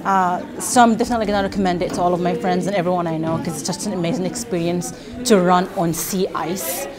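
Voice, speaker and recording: A woman in her thirties from Morocco, talking casually about running a race, relaxed with fillers. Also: fairly low pitch, quick pace. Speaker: race participant. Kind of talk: casual interview. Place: outdoors at a race event. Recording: crowd audible behind.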